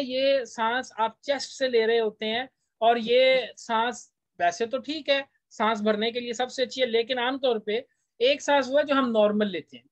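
A man's voice: very high-pitched, dry recording. Pakistani guy teaching, explains stuff conversationally like a lecturer, dry kinda muffled lo-fi audio. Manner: conversational, switches between languages to translate. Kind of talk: explanatory lecture, reading out English text and explaining it. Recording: dull, lo-fi voice-over recording.

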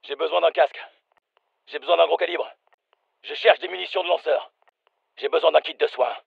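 Military game soldier, commanding, clipped callouts, gritty voice, dry sound. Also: male voice, on the high side, fairly quick, vocal fry, slightly rough, dry recording, dull audio, full character voice. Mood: brisk, focused. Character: urgent, brisk male special forces soldier.

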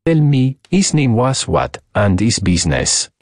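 A man voicing a theatrical, excitable French detective with a French accent, gallant manners and broken English in a story reading. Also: mid-range voice, unhurried, dry recording, clean studio sound. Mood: impatient, excited.

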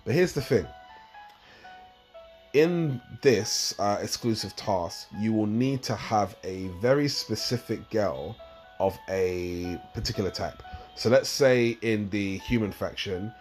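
A man hosting a gaming channel, talking through a mobile game tutorial in a casual, rambling way with a slightly croaky voice. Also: lowish voice, expressive, slow, a little mellow, soft background music. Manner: rambling, explains step by step with fillers.

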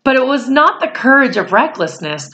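A woman in her forties reading a storybook aloud to children, deliberate and pausing phrase by phrase, with explanatory asides. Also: high voice, very animated, bright, forceful tone. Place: small room at home, indoors.

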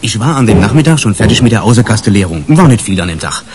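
An actor playing a flustered postal worker giving a witness statement, halting and stammering, in a 1980s German radio crime drama. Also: male voice, on the low side, very animated, heavy background noise. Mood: eager, excited.

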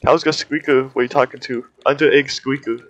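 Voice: medium pitch, steady tone, brisk, a bit bright, no echo. A gamer chatting casually during play, teasing and joking with a friend. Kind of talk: casual chat while gaming.

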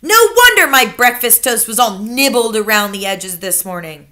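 A woman voicing a fierce, blunt elderly grandmother who scolds forcefully. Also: on the high side, animated, moderate pace.

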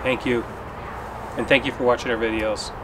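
A man's voice: mid-range voice, level tone, normal speed, background noise. Middle-aged man vlogging outdoors, distant mic. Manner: chatty, loose, repeats himself. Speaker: travel vlogger. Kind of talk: casual travel vlog. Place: outdoors beside an RV on a desert overlook.

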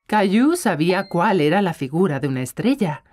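A woman's voice: lowish voice, sing-song, normal speed, a little mellow, faint music behind. A woman narrator reading a scripted children's story.

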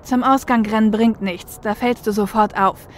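A woman's voice: a little high, steady tone, moderate pace, lightly acted. A woman playing an analytical, level-headed shadowrunner and getaway driver in a fan audio drama. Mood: focused planning. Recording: faint car and traffic noise.

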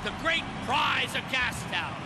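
An actor playing a flamboyant, bloodthirsty wasteland arena announcer in a video game, with a grandiose, drawn-out showman delivery. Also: extremely high pitch, very animated, exaggerated voice. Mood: reverent, grandiose. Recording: loud music under the voice. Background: loud music.

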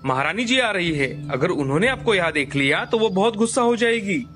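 Man as wary palace guard, higher male voice. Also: animated, brisk, a bit bright. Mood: anxious, polite. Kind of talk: animated folktale dialogue. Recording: music bed behind the voice.

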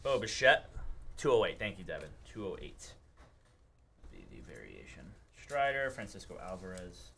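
A man's voice: sits low, wildly swooping pitch, moderate pace, gravelly, pushed and bright, a little hiss or hum. A young man hosting a live card break, rattling off player names and chatting in a studio. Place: studio with table, indoors.

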